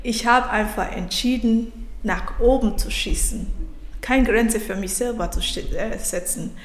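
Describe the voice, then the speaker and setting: Reflective African-born woman in an echoey room. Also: a little high. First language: not German. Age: 30s. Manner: reflective, halting, restarts mid-sentence. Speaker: podcast guest. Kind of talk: personal story in interview.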